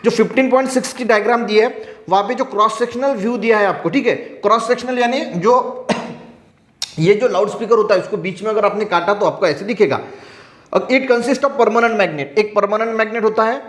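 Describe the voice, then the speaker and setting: A man's voice: sits high, moderate pace, fairly bright tone. A man with an Indian accent teaching science, explaining step by step with frequent repetition in a classroom lesson style. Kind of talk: classroom explanation alternating read-out textbook sentences with explanation. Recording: echoey room.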